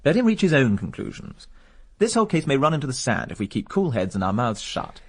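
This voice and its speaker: A coolly calculating war veteran in a radio drama. He talks briskly in a husky voice, urbane and dryly cynical. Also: medium pitch, very animated. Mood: cool, wry. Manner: urbane, dry, measured logic.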